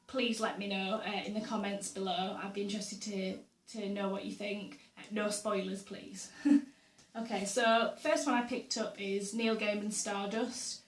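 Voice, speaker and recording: Chatty woman, slightly hoarse. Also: mid-range voice, steady tone, a little slow. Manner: chatty, with frequent ums and uhs and little laughs. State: slightly hoarse from a sore throat. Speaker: book vlogger. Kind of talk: casual chat to camera. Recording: roomy echo, voice a bit far from the mic.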